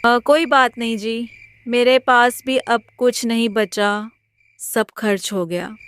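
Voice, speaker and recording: Casting: woman as kind young village wife, storybook drama; higher voice, slow, soothing delivery. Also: steady tone, clear, resonant tone. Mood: gentle. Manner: gentle, reassuring. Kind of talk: dramatized Hindi moral story.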